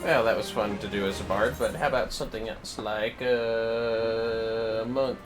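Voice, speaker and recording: A man's voice: on the low side, steady tone, a little slow, over music. A man giving casual, playful livestream commentary on a video game, muttering asides to himself.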